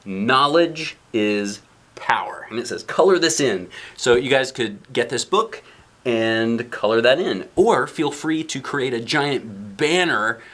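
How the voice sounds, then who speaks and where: A man with a medium-pitched voice reads a children's book aloud. He is expressive, leaning on important lines, and slips into casual explanations for young listeners. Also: slow pace. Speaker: picture book reader, dad.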